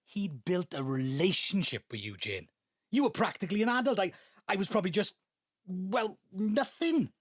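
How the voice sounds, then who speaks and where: Husky, bitter son. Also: male voice, high-pitched, expressive, moderate pace, no room sound, studio quality. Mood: indignant. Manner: sarcastic, accusing. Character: bitter, mocking grown-up son. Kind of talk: naturalistic audio drama acting.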